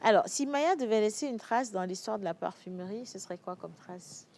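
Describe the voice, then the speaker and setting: A woman hosting a TV talk show in a studio, interviewing a guest with quick, jokey asides. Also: mid-range voice, expressive, a little mellow.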